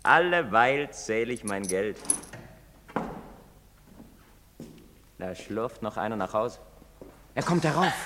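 An actor playing a bitter, defiant young outcast son in a German stage drama, speaking with theatrical intensity and scorn. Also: male voice, a little high, fairly bright tone. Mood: eager, secretive. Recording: very reverberant hall or stage.

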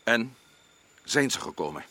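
A man's voice: mid-range voice, sing-song, measured pace, pushed and bright. An actor playing a grim, guarded male adventurer recounting events in a radio drama. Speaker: narrator and lead character Paul.

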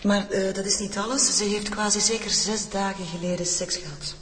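A matter-of-fact Flemish forensic doctor, speaks at a high pitch. His measured, clinical delivery rings in a reverberant, noisy room in a radio play. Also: steady tone, fairly bright tone. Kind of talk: radio drama acting. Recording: echoing hard room, clearly noisy.

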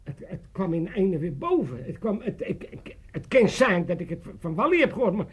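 An actor playing an excitable, self-important older father in a comic radio play, rambling and stammering pompously. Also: sits high, a little fast, husky, heavily acted. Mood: excited, pompous. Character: excitable self-important old Amsterdam father, dreaming of politics.

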